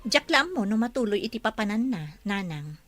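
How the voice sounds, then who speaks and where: A woman as a wary young wife, pointed questions, lively pitch, dry recording. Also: normal speed, no echo, faint music behind. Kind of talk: audio drama acting.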